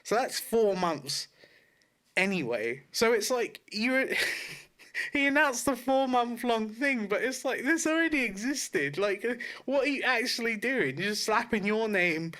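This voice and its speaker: A man's voice: very high voice, animated, unhurried, pushed and bright. A man co-hosting a podcast, chatting casually in an informal, sweary way about video games. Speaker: podcast co-host.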